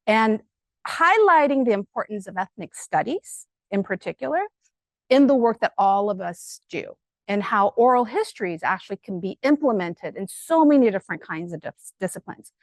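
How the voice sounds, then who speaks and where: A middle-aged woman with a loud voice, giving a lively, conversational academic talk to an audience, full of fillers and enthusiasm. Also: medium pitch, normal speed, no room sound. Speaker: guest speaker at an academic colloquium.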